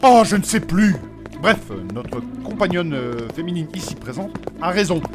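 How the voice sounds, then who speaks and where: Man playing a pompous, pious fantasy gentleman. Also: high-pitched, lively intonation, moderate pace. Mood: lecturing. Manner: formal, fussy, moralizing. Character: pompous, pious, polite royalist male fantasy companion. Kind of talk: French comic fantasy audio drama acting. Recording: music behind the voice. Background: background music.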